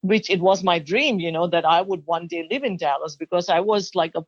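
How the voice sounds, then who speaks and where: A mature woman from Thailand telling her own story as an interview guest, animated and expressive. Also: on the low side, fairly quick, dry recording. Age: middle-aged or older. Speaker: guest, bridal designer. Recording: dull, lo-fi sound.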